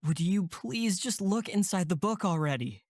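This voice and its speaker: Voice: mid-range voice, animated, moderate pace, dry recording, studio quality, lightly acted. A voice actor playing an earnest, casual young male camp counselor in a horror audio drama. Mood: earnest, frustrated.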